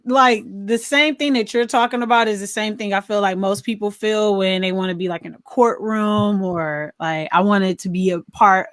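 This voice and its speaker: A woman's voice: medium pitch, fairly bright tone, no room sound, studio quality. A woman co-hosting a podcast in casual conversation, often repeating words and phrases as she reacts. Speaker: podcast co-host. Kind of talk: casual chat.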